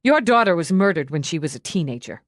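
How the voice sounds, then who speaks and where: A woman's voice: lowish voice, sing-song, fast, no echo, studio quality. A woman voicing a hard, relentless female police lieutenant in an audiobook, pressing a suspect with confrontational questions. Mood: cold, relentless. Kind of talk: audiobook character dialogue.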